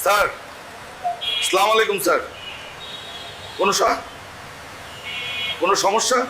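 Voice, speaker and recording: A man acts out a curt, bullying policeman in a moral-story drama. The voice is very high for a man, and clear vehicle noise runs behind the orders. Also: unhurried. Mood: nervous, deferential. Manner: curt, commanding. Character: bullying, corrupt police officer, curt and domineering. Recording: noisy track with vehicle ambience.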